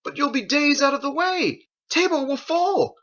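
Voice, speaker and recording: A man's voice: extremely high pitch, animated, moderate pace, fairly bright tone, muffled recording, voice pushed up. A man voicing an anxious, indignant male squire who protests and pleads with his master in an audiobook story. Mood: frantic, indignant. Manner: exclaiming, incredulous, protesting.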